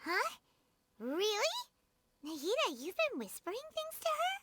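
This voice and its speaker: Little fairy sidekick for an anime game: very high, chatty, animated, music behind. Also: female voice, measured pace, very noisy, full character voice. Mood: surprised, sheepish. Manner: squeaky, chatty, refers to herself by name. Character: small excitable childlike fairy companion, third-person chatterer. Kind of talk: anime video game voice acting.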